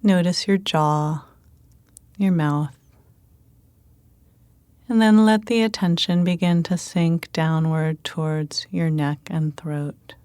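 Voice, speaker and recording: Female meditation guide: calm, gentle, very unhurried, slightly low voice, dry intimate recording. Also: no room sound.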